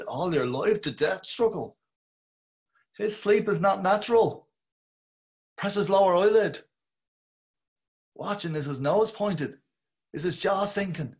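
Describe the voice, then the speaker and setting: Man doing brooding middle-aged dubliner, slow measured inner monologue, webcam reading. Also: sits high, a little mellow. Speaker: actor reading a male lead. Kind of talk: dramatic reading over video call. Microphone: webcam on a video call.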